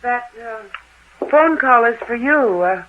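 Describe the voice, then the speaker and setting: A woman's voice: fairly high pitch, lively intonation, slow. An American woman playing a concerned companion in a 1960s radio drama, on a muffled, hissy old recording.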